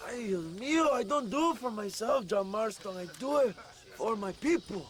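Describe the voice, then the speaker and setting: An actor playing a grandiose, self-important Mexican revolutionary leader in a game cutscene, with a Mexican accent and theatrical, halting delivery. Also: male voice, high voice, lively intonation, slight background noise. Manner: pompous, theatrical, halting repeats. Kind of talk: video game cutscene acting.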